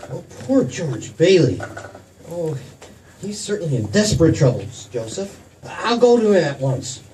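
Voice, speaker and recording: An actor playing an eager, anxious, kindly apprentice angel in a radio drama. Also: male voice, a little high, sing-song, a little slow, darkish tone. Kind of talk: stage re-creation of 1940s radio drama. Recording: distant mic, faint background noise.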